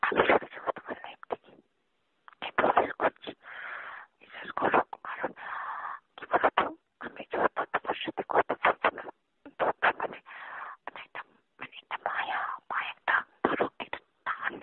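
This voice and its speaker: A person speaking in a hushed, breathy whisper, pleading through an intimate relationship argument on a phone call. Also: moderate pace, pauses often. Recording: muffled tinny phone call recording.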